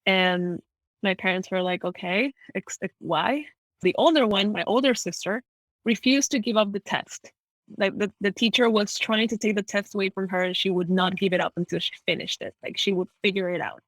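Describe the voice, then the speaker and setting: A woman's voice: mid-range voice, normal speed, no room sound, low-quality recording. Woman with an American accent, dry close sound. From Ecuador. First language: Spanish. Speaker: podcast guest. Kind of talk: conversational storytelling in an interview.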